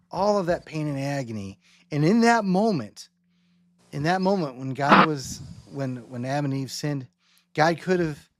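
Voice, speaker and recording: A man hosting a casual theological discussion, thinking aloud in halting, rambling phrases and often checking in with rhetorical questions. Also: fairly high pitch, no echo. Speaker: host.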